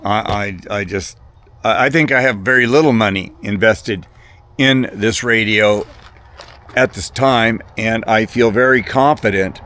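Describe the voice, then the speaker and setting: A man with a slightly low voice speaks off the cuff to viewers as a hobbyist. His delivery is casual and rambling. He hesitates often, doubling back on words and halting between phrases. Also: a bit bright. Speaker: hobbyist video presenter.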